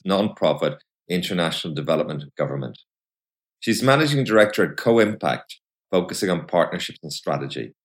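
A man hosting a podcast, introducing the show and interviewing guests. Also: low voice. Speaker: podcast host.

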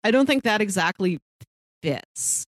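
A woman chats as co-host of a casual discussion. Her voice sits at a medium pitch and is recorded very dry, with hardly any room sound. The delivery is conversational and unscripted. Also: animated, unhurried, no room sound. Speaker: podcast co-host.

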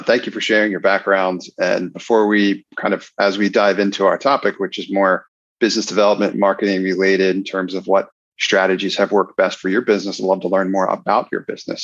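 A man's voice: on the low side, no room sound. A man hosting a podcast interview, friendly and upbeat as he welcomes and questions his guest. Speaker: podcast host. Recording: dull, dry lo-fi sound.

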